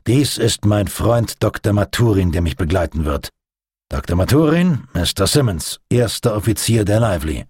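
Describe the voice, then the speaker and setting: Man playing a gruff, commanding sea captain. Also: lowish voice, lively intonation, normal speed, darkish tone. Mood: stern. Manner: authoritative, sardonic. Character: commanding male navy captain, gruff and sardonic.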